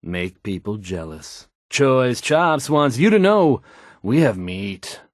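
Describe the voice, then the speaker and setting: A smooth, self-assured radio DJ for a post-apocalyptic game. His delivery is deliberate and showy, swinging widely in pitch as he reads news and ads. The sound is dry and close. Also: sing-song, dry recording. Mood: confident, smooth. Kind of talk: polished radio announcing and ad reads.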